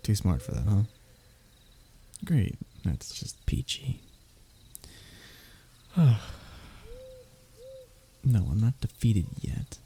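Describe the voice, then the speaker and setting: A man's voice: lowish voice, sing-song, extremely slow, long pauses, dark tone, a little hiss or hum, heavily acted. A man playing a stubborn, scheming, lovestruck young human royal held captive, in a close audio roleplay with flowery wording and flustered stammers. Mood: frustrated, defiant. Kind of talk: audio roleplay acting, addressing the listener closely.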